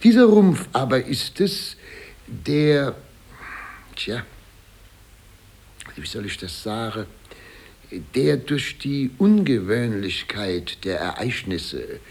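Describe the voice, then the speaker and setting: A man's voice: mid-range voice, sing-song, a little mellow, husky, full character voice. A man playing a flustered, over-polite small-town mayor in a German radio play, stammering and ceremonious. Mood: flustered, deferential. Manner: stammering, ceremonious, halting hedging.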